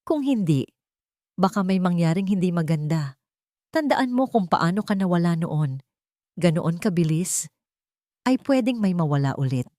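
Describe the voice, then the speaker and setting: A woman voicing a rich older matriarch in a story, calm, icy, mocking and threatening. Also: on the low side, moderate pace, pauses often, dry recording, studio quality, natural voice. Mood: menacing. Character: cold, contemptuous rich older matriarch, menacing and haughty.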